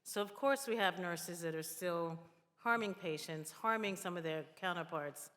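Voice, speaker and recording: A woman's voice: fairly low pitch, normal speed, a bit bright. A woman giving earnest remarks as a nurse speaking on a panel. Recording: echoey hall with long reverb.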